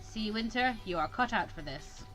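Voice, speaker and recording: A woman voicing a stern, condescending male mentor in a visual novel, measured and dry as he instructs a student. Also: fairly high pitch, animated, music in the background, natural voice. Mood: measured, instructive.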